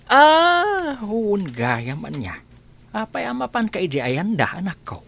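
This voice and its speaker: A woman playing a gentle, concerned old father in a scripted audio drama. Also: mid-range voice, wildly swooping pitch, a little slow, creaky, slight background noise, heavily acted. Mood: curious. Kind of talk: scripted radio-style drama.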